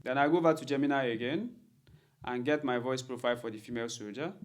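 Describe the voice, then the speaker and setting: Man, tutorial presenter, medium pitch, methodical, step-by-step instructional narration, repeats key points. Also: fast talker. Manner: methodical, repetitive step-by-step explaining. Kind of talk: instructional screen-recording narration.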